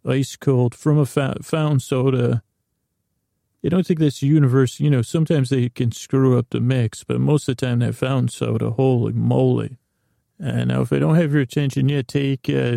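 A man's voice: mid-range voice, creaky, dark tone, no room sound, studio quality. A man hosting a bedtime podcast, talking in a meandering, hesitant monologue that often trails off and circles back. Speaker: host of a bedtime sleep podcast.

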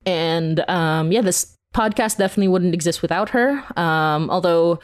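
A young woman talks casually as a guest in an interview. Her voice sits a little on the low side. She is chatty and giggly, and tends to act out imagined remarks as she explains. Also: moderate pace. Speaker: podcast creator and interview guest.

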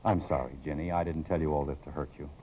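An actor in 1950s radio drama playing a grave, middle-aged college president and father, halting and formal. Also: a bass voice, steady tone, husky. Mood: remorseful. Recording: muffled old 1950s radio broadcast.

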